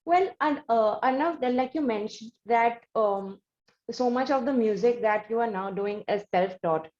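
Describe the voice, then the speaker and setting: A woman's voice: a little high, moderate pace, darkish tone. A woman with an Indian accent interviewing conversationally, circling toward her questions in long, repetitive sentences. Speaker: interviewer, host. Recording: echoey room, slightly dull sound.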